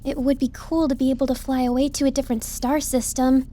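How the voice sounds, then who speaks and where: A woman's voice: sits high, little pitch movement, quick pace, dark tone, natural voice. A woman voicing a curious, hopeful young girl adventurer in an audiobook drama, informal and questioning.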